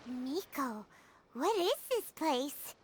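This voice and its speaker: Squeaky, very high-pitched childlike fairy. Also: female voice, slow pace, noisy background, heavily acted. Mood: uneasy. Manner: refers to herself in third person, whiny, expressive. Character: tiny childlike female fairy companion, excitable and easily frightened. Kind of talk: video game character acting. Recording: game music behind the voice.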